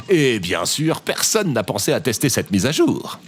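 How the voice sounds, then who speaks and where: A man's voice: mid-range voice, very animated, unhurried, faint music behind. A man playing a long-suffering tech support worker in an audio drama, wordy and sardonic. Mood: wry, weary.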